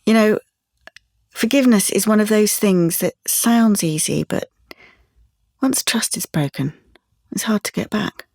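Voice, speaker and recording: Husky, wary young woman. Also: mid-range voice, animated, measured pace, darkish tone, no room sound, studio quality. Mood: wistful, undecided. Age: young adult. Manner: musing, wavering. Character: wistful young woman, wary after betrayal. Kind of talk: audio play monologue.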